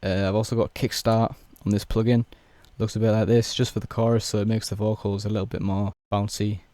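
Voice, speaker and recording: Young male producer, hoarse, low and mellow, monotone tutorial read. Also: fairly quick, no room sound, clean studio sound. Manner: laid-back, explains step by step with many fillers. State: hoarse, losing his voice. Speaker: music producer. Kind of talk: casual software tutorial.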